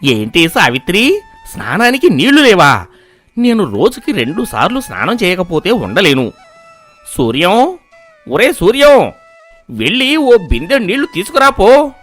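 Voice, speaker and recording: Man playing old miserly grandfather, animated pitch swings, bossy, dismissive, cartoon moral story, music underneath. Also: a little slow, long pauses, heavily acted. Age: older. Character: stingy, scheming old grandfather avoiding any expense.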